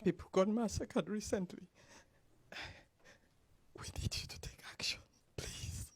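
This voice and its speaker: Soft, pleading woman from Cameroon. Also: medium pitch, gravelly, breathy edge, no room sound, whispered. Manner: pleading, halting, soft-spoken. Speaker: audience member.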